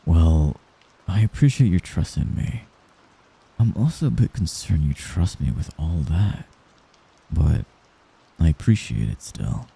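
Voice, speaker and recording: A man playing a young mafia heir in an intimate ASMR roleplay, a gentle, hesitant and possessive friend who gives orders apologetically. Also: low voice, big pitch swings, gravelly, slightly rough, full character voice, rough recording. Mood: earnest, cautious. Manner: soft-spoken, halting, apologetic while giving orders.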